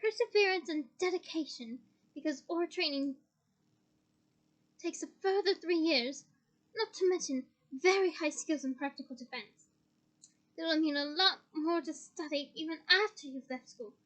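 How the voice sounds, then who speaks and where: Lady doing a strict high voiced teacher from a storybook, firm, emphatic, won't back down. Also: moderate pace, fairly bright tone, poor audio. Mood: brisk. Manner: stern, emphatic, ringing.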